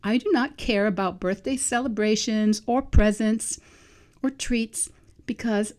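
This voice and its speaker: A woman's voice: mid-range voice, unhurried, darkish tone. A woman in her sixties hosting a solo podcast as a vegan life coach, talking in a thoughtful, musing way. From New England. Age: 60s. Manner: thoughtful, musing, self-questioning. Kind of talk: solo reflective podcast monologue.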